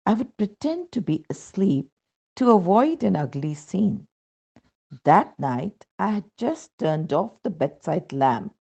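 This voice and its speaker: Deep-voiced Indian woman reading a devotional testimonial. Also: gravelly, mellow, slightly rough. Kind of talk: scripted devotional narration, read aloud. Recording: dull, muffled lo-fi sound.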